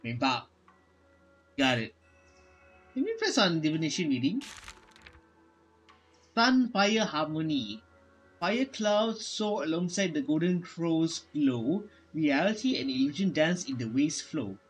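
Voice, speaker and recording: Higher-pitched man reading game text haltingly. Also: very animated, slightly rough, faint music behind. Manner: halting, stumbles over words when reading. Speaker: gaming streamer. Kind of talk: reading game dialogue aloud during a playthrough.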